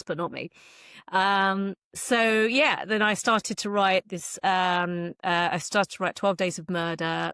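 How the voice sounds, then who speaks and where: A woman's voice: on the low side, fairly bright tone, no echo, clean studio sound. A woman talking casually as an author guest in an interview, chatty and jokingly self-deprecating.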